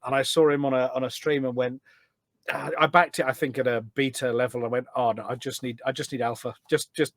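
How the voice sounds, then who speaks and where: A man's voice: medium pitch, level tone, fairly quick, no echo, clean studio sound. A middle-aged man chatting casually as a podcast guest, enthusiastic and full of anecdotes. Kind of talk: casual chat. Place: indoors at a desk at home.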